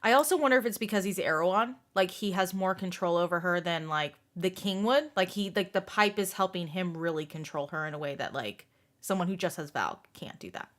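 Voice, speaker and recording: A woman's voice: fairly low pitch, fairly quick, fairly bright tone. A woman hosting a group book discussion, talking casually and informally, often saying "like".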